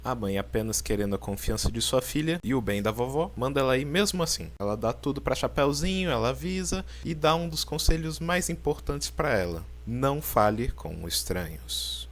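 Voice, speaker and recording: A man with a Brazilian accent gives casual explainer commentary, informal and pausing for drama. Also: medium pitch, lively intonation. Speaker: video creator and narrator. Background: background music.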